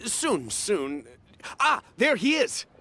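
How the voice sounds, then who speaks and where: A man's voice: sits high, big pitch swings, slow, pushed and bright, slight background noise, heavily acted. An actor playing an anxious, cautious young man in a video game, flustered and hesitant in manner. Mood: flustered, eager. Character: anxious, cautious young male buyer of modest means.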